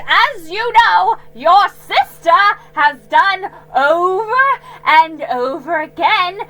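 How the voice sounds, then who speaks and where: A woman voicing a haughty, grating aristocratic lady who speaks slowly and pompously in a high, loud voice. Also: heavily acted, rough recording. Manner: pompous, slow and drawn out, shrill.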